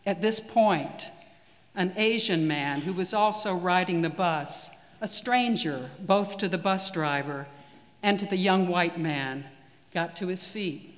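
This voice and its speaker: A man preaching a sermon in a church, measured and earnest with deliberate pauses. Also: high-pitched. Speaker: priest. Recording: long reverb of a church hall.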